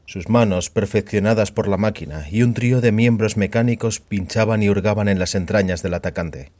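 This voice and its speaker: A man's voice: fairly low pitch, fairly quick, a little mellow. A man narrating scripted audio fiction as a storyteller.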